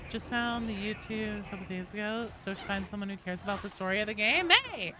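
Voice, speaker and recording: A woman hosting a game livestream, chatting casually with viewers in an animated, sweary, self-deprecating way. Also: mid-range voice, a little fast. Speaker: livestream host. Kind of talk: casual game-stream chat, answering viewer comments. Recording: clear background noise behind voice.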